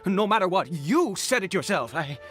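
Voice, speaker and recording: Fervent father, wildly varying pitch. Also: sing-song, fairly bright tone, soft background music. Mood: anxious hope. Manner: hesitant, halting, emotional. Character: desperate, fervent father clinging to hope. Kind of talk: dramatic game voice acting.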